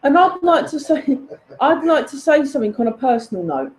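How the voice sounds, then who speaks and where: A woman's voice: sits high, very animated, a little fast, a little mellow, rough recording. A woman delivering an indignant, emphatic personal rant, addressed accusingly to someone who is absent.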